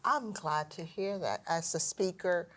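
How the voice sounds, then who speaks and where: A woman's voice: lowish voice, measured pace, a bit bright. Encouraging woman interviewer. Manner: encouraging, full of praise. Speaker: school administrator interviewing a teacher.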